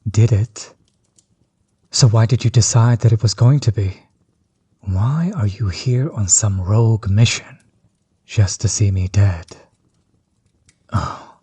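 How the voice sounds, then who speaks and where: Male actor as smug tyrant overlord, audio roleplay: low, heavily husky, close and dry, theatrically mocking. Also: dark tone, no echo, full character voice. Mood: mocking, probing. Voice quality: husky, breathy, often dropping toward a whisper. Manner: mocking, condescending, drawn-out theatrical delivery. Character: smug, bitter male villain overlord, grudge against old companion. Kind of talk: scripted roleplay audio drama monologue.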